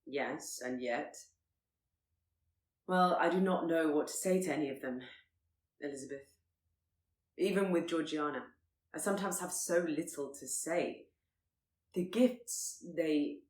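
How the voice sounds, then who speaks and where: A woman voicing a proud, reserved and brooding Regency gentleman who speaks formally and gravely. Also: deep voice, a little slow, long pauses, reverberant. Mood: awkward.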